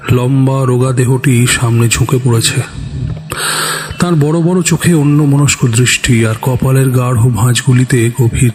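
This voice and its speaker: A man's voice: medium pitch, a little mellow. A man narrating a scripted suspense story in a dramatic storytelling style. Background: background music.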